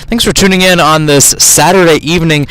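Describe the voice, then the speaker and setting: A man's voice: a little high, fairly even intonation, a little fast, a bit bright, clear, resonant tone, poor audio. A man calling live soccer play-by-play for radio, describing the action as it unfolds and often correcting himself mid-phrase. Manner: running description with frequent self-corrections.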